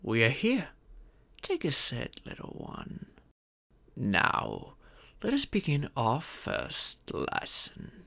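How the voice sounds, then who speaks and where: A man's voice: medium pitch, huge pitch swings, takes it slowly, gravelly, sharp tone, heavily acted. A man voicing an ancient male dragon, a former king and doting but stern father, speaking formally and patiently in an ASMR roleplay. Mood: storytelling, measured. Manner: formal, patient, fond, instructive. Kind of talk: ASMR roleplay, scripted monologue.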